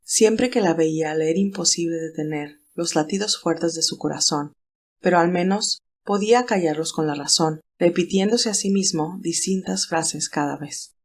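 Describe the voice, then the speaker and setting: A woman's voice: fairly low pitch, normal speed. A woman reading scripted, dramatic narration of a romantic story as its narrator.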